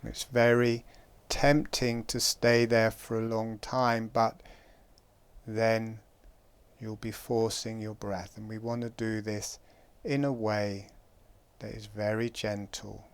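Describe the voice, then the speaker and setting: A man guiding a breathing meditation, giving calm, gentle instructions with long pauses. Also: on the low side, clear, resonant tone. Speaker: meditation guide.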